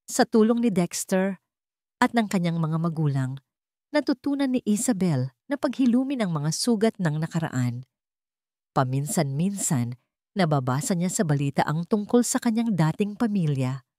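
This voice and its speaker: A woman's voice: fairly low pitch, expressive, moderate pace, warm tone, no echo, clean studio sound. A woman with a Filipino accent reading a scripted romance story as a dramatic narrator.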